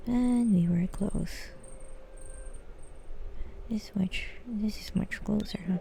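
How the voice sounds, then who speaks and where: A woman's voice: medium pitch, slow pace, gravelly, warm tone, a little hiss or hum. A woman chatting casually on a live game stream, rambling and thinking aloud in a hesitant way. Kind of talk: casual live stream chat while gaming.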